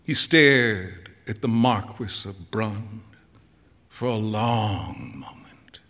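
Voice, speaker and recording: A man giving a dramatic, deliberate literary reading live on a theater stage, with drawn-out pauses. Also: lowish voice, animated, a bit bright. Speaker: actor reading a short story. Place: theater stage before an audience. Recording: reverberant hall, live stage performance.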